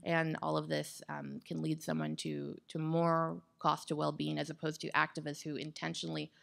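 A woman guest expert answers questions in an onstage interview. Her voice sits low and is very gravelly with fry. She speaks in measured, well-formed sentences. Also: monotone. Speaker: guest expert on climate psychology. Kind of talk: thoughtful interview answers on stage. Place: indoor stage with wood-slat backdrop. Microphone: microphone on boom stand.

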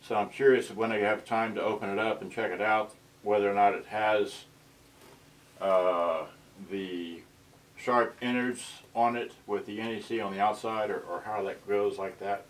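An older man in his sixties giving a casual unscripted demonstration, halting and muttering to himself as he tinkers. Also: on the low side, fairly even intonation, poor audio. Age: older, 60s. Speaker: vintage boombox collector presenting his channel. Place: cluttered indoor storage room. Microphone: camera microphone, tripod then handheld.

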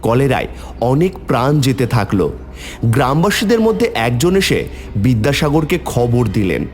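A man giving expressive scripted narration for a cartoon story. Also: mid-range voice, normal speed, big-room sound. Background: faint music.

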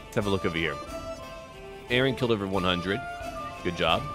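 A man's voice: low-pitched, fairly quick, music in the background. A man hosting a roleplay strategy game video, musing aloud in short, clipped remarks. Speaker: gaming video host.

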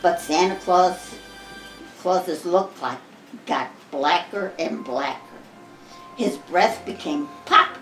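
An American woman in her eighties reads a Christmas poem aloud to family, measured and expressive, with the odd stumble. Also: on the low side. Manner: measured, expressive storybook reading with occasional stumbles. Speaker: grandmother reading to family. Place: living room at home. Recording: roomy home recording with music behind.

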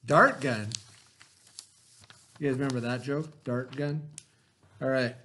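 A man in his forties, a teacher, giving slow step-by-step craft instructions to children from a classroom webcam. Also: medium pitch, steady tone, bright, forceful tone, a little hiss or hum. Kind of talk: remote classroom craft lesson. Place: classroom, indoors. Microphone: webcam at desk.

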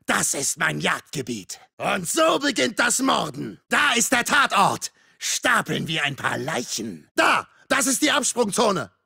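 Raspy, gravelly masked slasher killer. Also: male voice, sits high, big pitch swings, measured pace, few pauses, pushed and bright, no room sound, clean studio sound, heavily acted. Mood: urgent, shouting. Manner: threatening, mocking, theatrical. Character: menacing masked slasher killer, taunting and darkly playful. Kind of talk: video game combat voice lines.

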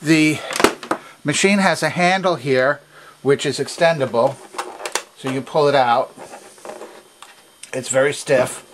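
Guy walking thru how a machine works step by step, mid-pitched voice, methodical and precise. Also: slow, pauses often, noisy background. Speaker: demonstrator of a vintage machine. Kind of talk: instructional demonstration, explanatory. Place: indoor workshop at a workbench.

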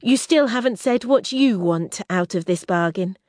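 Woman voicing a cautious, sharp young heroine. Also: mid-range voice, animated, normal speed, no echo, clean studio sound, pitched above the performer's own voice, close to an everyday voice. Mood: guarded. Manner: guarded, questioning. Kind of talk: audiobook character reading.

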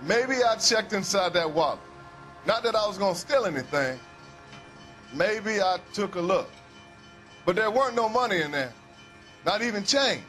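A man's voice: high-pitched, expressive, moderate pace, pauses often, fairly bright tone, soft background music. A man playing a grudging, defensive working-class witness in a video game, evasive and colloquial in his talk. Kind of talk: scripted dramatic video game acting.

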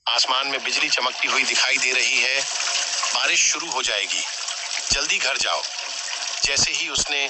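Man acting as grave cartoon story narrator, scripted delivery, loud rain and water noise. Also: mid-range voice, moderate pace, pauses often, close to an everyday voice. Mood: dramatic narration. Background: rain sound effect.

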